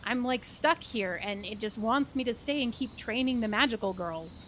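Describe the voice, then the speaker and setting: A woman playing a frazzled, enthusiastic gnome coach with a bubbly, rambling, halting delivery. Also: a little high, animated, a bit bright, a little hiss or hum, rough recording. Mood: flustered, rambling. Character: frazzled, enthusiastic female gnome training magical girls.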